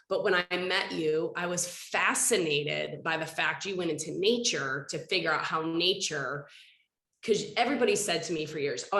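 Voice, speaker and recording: A woman's voice: fairly low pitch, a bit bright. A woman hosting an interview, earnest and rambling, sounding nasal from a head cold. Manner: earnest, rambling, self-correcting. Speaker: podcast host. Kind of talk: conversational interview. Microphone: computer video call. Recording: echoey room.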